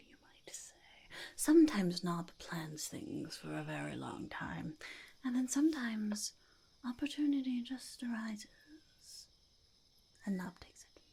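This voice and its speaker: A woman voicing a scheming, self-important goblin in a whispery ASMR roleplay, halting and mock-formal as the goblin interviews would-be henchmen. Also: mid-range voice, a little mellow, full character voice. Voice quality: whispery, breathy, creaky.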